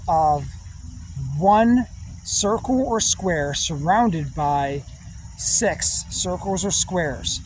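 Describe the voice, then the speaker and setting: A man giving a rambling spiritual monologue in slow, drawn-out, meditative phrases with long pauses. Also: high-pitched, darkish tone, slight background noise, low-quality recording. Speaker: spiritual speaker.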